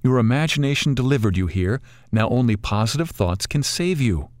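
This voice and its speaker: A man voicing a wise old female talking bird who counsels gently and calmly. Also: mid-range voice, fairly quick, close to an everyday voice. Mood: gentle.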